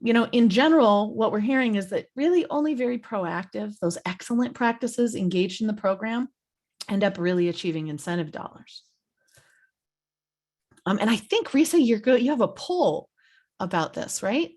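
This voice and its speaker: A woman's voice: mid-range voice, expressive, moderate pace, a little mellow. A woman giving an explanatory slide presentation as a webinar presenter, in a conversational way. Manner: conversational, explanatory, checks in with "right?". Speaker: webinar presenter, program expert.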